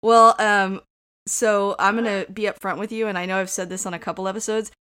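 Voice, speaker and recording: A woman's voice: medium pitch, level tone, brisk, bright, forceful tone, clean tone. A woman hosting a movie podcast, upbeat and chatty. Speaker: podcast host. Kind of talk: casual podcast chat.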